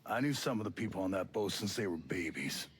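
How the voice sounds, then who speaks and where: An actor playing a bitter, weary, middle-aged or older settlement leader in a video game cutscene, heavy and accusing. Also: male voice, low voice, animated, a little fast, a little hiss or hum. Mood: bitter, grieving.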